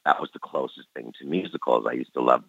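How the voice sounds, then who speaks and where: A man's voice: extremely low pitch, quick pace, creaky, a bit bright, no room sound. A man in his forties who grew up in Canada, reflecting easily on his dance career as an interview guest. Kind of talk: casual interview talk. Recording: dry, dull lo-fi recording.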